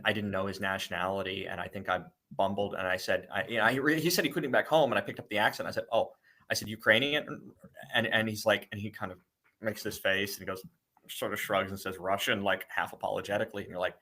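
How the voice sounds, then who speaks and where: A middle-aged man from the United States speaks as a guest in a conversational interview. His voice sits a little low but sounds bright and forceful, and he stumbles through frequent restarts and repeated words.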